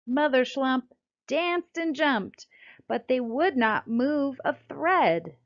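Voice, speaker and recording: A middle-aged American woman reads a scripted text aloud as a children's author, in a deliberate, expressive storytelling manner. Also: high voice. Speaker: children's book author reading her own book. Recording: dull, lo-fi sound.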